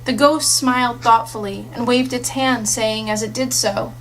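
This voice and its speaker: A woman's voice: on the high side, steady tone, moderate pace, fairly bright tone. A woman reading a literary story aloud as an audiobook narrator, in a scripted storytelling style. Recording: faintly noisy audiobook recording.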